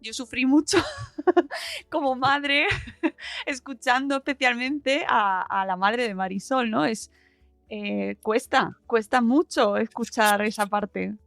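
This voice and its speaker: Female podcast host, higher register, dry studio-like sound, chatty and expressive delivery. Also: takes it slowly, creaky, no echo, faint music behind. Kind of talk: casual interview chat.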